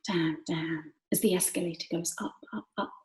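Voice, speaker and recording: A woman reads literary prose aloud in a fairly low voice. Her delivery is dramatic and expressive, shifting between hushed and emphatic. The room around her voice is clearly echoey. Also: a little fast. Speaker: actor reading from a novel.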